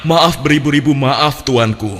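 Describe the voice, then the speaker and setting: A man's voice: mid-range voice, a little slow, a bit bright, lightly acted. A man playing a humble, deferential soldier in a cartoon, speaking respectfully and earnestly to his superiors. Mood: apologetic, urgent. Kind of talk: Indonesian cartoon voice acting.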